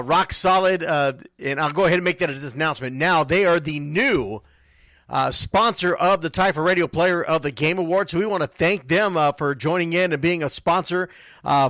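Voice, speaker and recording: A man's voice: a little high, fairly bright tone, dry recording. A man hosting a sports radio show, talking casually in a chatty, folksy way.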